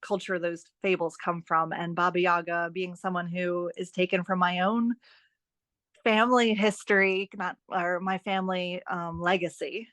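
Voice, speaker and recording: A woman speaking as a guest author in a podcast interview, expressive and enthusiastic in a conversational way. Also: fairly low pitch, moderate pace, dry recording.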